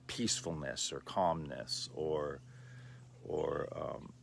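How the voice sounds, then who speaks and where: A man in his sixties from the Los Angeles area, giving a slow, thoughtful personal talk with many pauses and fillers. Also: a bass voice, a bit bright. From Los Angeles area, USA. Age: 60s. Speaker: livestream host.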